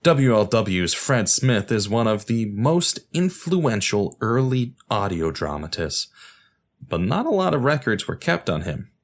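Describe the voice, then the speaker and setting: Low-pitched male podcast host, lively intonation. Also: unhurried. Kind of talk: scripted podcast narration with casual asides.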